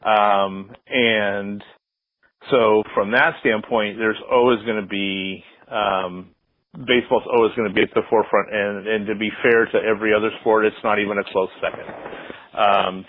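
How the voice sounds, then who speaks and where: A middle-aged American man with a fairly low voice speaks casually as an interview guest. He rambles reflectively, pausing often between phrases. The sound is narrow and phone-like. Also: steady tone. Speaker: interview guest, sports public address announcer. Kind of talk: casual conversational interview answers. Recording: roomy, slightly muffled phone-like line.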